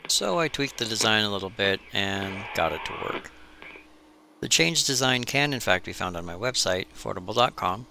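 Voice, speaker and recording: A deep male voice with a creaky, gravelly grain narrates a maker video. He delivers scripted storytelling in deliberate phrases, with a crisp, bright edge. Faint noise sits behind the voice-over. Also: lively intonation.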